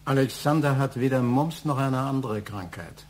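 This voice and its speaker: A man's voice: medium pitch, moderate pace, close to an everyday voice. An actor playing a stern, reproachful older father of the family in a German radio crime drama, over some hum. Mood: stern regret.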